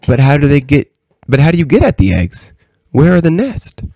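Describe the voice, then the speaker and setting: A man's voice: on the high side, big pitch swings, moderate pace, dark tone, no room sound, pitched above the performer's own voice. A man voicing a curious, eager little princess, an innocent girl full of questions. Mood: eager, inquisitive.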